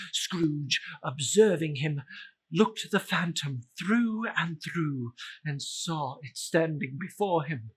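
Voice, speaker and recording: A woman narrating a scripted story as a dramatic reader, with a theatrical, deliberate delivery. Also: deep voice, fairly bright tone, husky.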